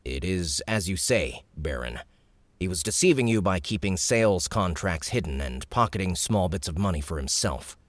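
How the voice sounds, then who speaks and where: A man's voice: sits low, expressive, moderate pace, no room sound. A man voicing a cautious young man posing as a soldier, speaking politely and carefully.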